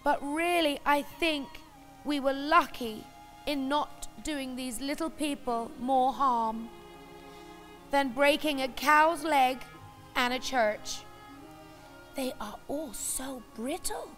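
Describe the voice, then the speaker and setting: A woman's voice: high voice, slow, a bit bright, faint music behind. A woman voicing a kind, earnest little girl traveller in a storybook, sweet and sincere. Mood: regretful.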